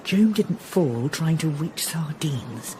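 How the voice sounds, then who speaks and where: An actress playing a brisk, no-nonsense middle-aged woman in a radio drama, curt and dry. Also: low voice, expressive, noisy background. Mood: curt, exasperated.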